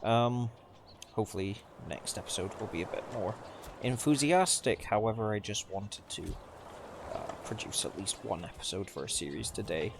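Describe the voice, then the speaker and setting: A man giving casual, subdued gameplay commentary in halting phrases, his voice slightly off from a sore throat. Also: lowish voice. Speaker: video game let's player. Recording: clearly noisy background.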